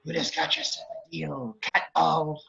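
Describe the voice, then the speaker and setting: A man playing a crude, lecherous, scheming villain running for mayor, with a very raspy voice and wisecracking delivery, in an amateur script read. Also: a little high, monotone, a little slow, bright, forceful tone, dull audio, heavily acted. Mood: smug scheming. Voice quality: very raspy, gravelly. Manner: leering, wisecracking.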